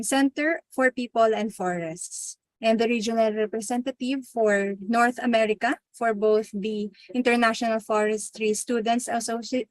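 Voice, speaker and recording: Female moderator, slightly high voice, dry close sound; polite, apologetic, hesitant hosting of an online panel. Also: no room sound, rough recording. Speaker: session moderator. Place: video call.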